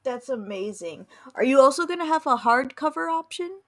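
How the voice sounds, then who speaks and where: Woman interviewer, bit higher pitched voice, informal chat, exclaims a lot. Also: animated, normal speed. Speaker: interviewer, show host.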